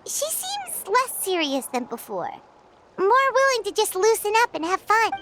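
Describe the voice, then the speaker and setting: Squeaky little game sidekick, super high and chatty, big swoopy voice, loud music behind. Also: female voice, a little slow, noisy background, exaggerated voice. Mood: curious. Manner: excitable, exclamatory. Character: tiny floating female companion, excitable and chatty. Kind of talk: video game voice acting.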